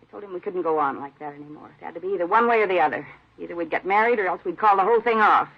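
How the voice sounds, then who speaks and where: A muffled old radio drama. a scared woman on the defensive, her voice husky and creaky, giving her account in plain, halting phrases. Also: fairly low pitch. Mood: defensive, anxious. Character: frightened, defensive woman questioned about her lover's killing. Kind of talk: 1950s radio drama acting.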